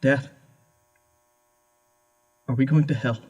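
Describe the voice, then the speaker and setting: An actor playing a frightened, obedient young adult son in an audio horror drama, husky, timid and pleading. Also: male voice, medium pitch, fairly even intonation, takes it slowly, darkish tone. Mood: timid, guilt-ridden.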